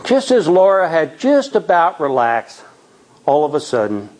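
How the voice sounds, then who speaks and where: A man telling a spooky tale live in a theatrical, suspenseful way, with deliberate dramatic pauses. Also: a little high, wildly swooping pitch, a little mellow, rough recording. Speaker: storyteller at a Toastmasters tall tales event.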